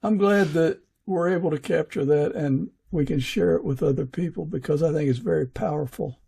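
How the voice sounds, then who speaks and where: A man with a slightly high, creaky voice and a husky edge. He works as a podcast interviewer, conversational and halting, thinking aloud as he goes. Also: mellow.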